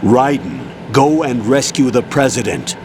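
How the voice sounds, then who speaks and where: An actor playing a stern, businesslike military colonel giving orders in a dramatic video game. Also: male voice, mid-range voice, very animated, a little slow, background noise. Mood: stern, firm. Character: stern male colonel briefing his agent, businesslike. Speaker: commanding officer.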